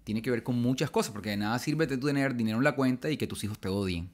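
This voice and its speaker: A man's voice: mid-range voice, fast talker. A man, talking casually as a podcast guest at a close microphone in a small room. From Colombia. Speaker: podcast guest, speaker and author. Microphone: podcast microphone on arm, close.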